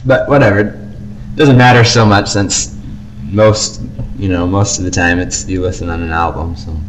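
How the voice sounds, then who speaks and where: A man with a fairly low voice co-hosts a podcast in casual conversation. He talks through his opinions informally, over a faint bed of background noise. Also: steady tone, moderate pace, poor audio. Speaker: podcast co-host.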